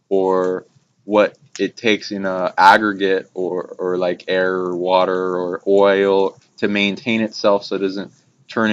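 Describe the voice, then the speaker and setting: Low monotone man rambling, lo-fi. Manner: halting, trailing phrases with abstract jargon. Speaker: speaker on esoteric topics. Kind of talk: casual rambling spiritual discussion. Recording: dull lo-fi recording with clear background noise.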